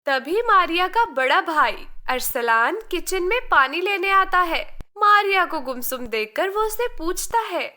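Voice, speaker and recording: Slow, bright woman storyteller. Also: very high-pitched, big pitch swings. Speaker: narrator. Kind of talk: scripted children's story narration.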